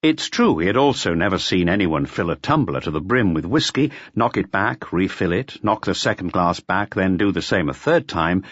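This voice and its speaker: A man's voice: sits low, fairly bright tone, slightly rough, no echo, muffled recording. A man reading a mystery audiobook as a scripted narration. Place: close, dry recording.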